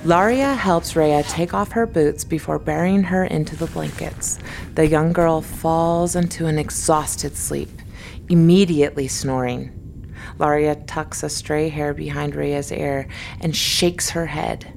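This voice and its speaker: Very low, unhurried female narrator, creaky. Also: fairly even intonation, a little mellow, slight background noise. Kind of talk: scripted dramatic story narration.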